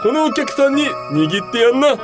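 Radio-play sushi boss, played by a woman, friendly and gruffly direct, swooping delivery over clear background music. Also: high-pitched, very animated, moderate pace. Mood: bossy. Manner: hearty old-Tokyo shopkeeper talk. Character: friendly, blunt male sushi bar master. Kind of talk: comic radio drama.

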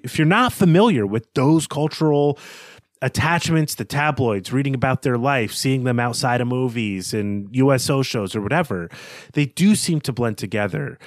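Podcast guy thinking out loud about a novel, casual, medium pitch, dry close mic. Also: dry recording. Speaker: podcast host. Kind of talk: casual literary analysis.

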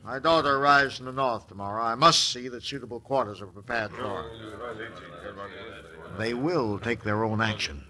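An actor playing a blunt, middle-aged American army major in an old-time radio western, speaking in a formal, curt, official way. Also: male voice, medium pitch, normal speed, pushed and bright. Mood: stern, formal.

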